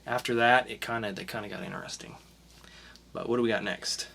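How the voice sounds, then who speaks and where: A man co-hosting a casual podcast, opening with a hyped intro and then chatting relaxed through the news. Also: mid-range voice, brisk, creaky, slight background noise. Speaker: podcast co-host.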